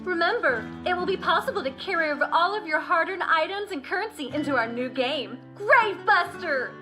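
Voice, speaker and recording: A woman's voice: very high voice, lively intonation, fairly quick, music in the background. An actress in an anime dub playing a chirpy young female game announcer with a bubbly, polished promotional delivery. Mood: cheerful.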